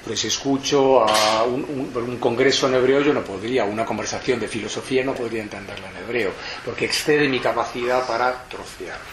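A man's voice: medium pitch, fairly even intonation, a little fast, hardly any pauses, a crowd in the background. A man from lecturing to an audience, reflective and explanatory, often restarting his sentences. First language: Spanish. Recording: roomy hall echo, faint background noise.

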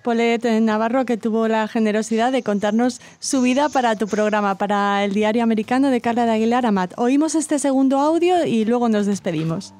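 A woman's voice: a little high, brisk, few pauses, a little mellow. A woman hosting a radio programme, briefly steering the talk and introducing segments. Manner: brief, guiding the conversation. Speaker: radio host.

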